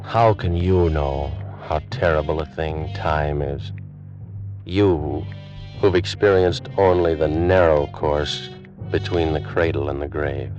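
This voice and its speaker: An actor playing an anguished ancient Egyptian priest, weary of endless life, in grave, theatrical old-time radio drama style. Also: male voice, low voice, highs cut off. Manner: theatrical, grave, slow and brooding.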